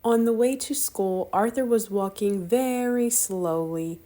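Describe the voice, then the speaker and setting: A woman's voice: mid-range voice, unhurried, warm tone. A woman narrating a children's picture book aloud. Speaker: storybook reader.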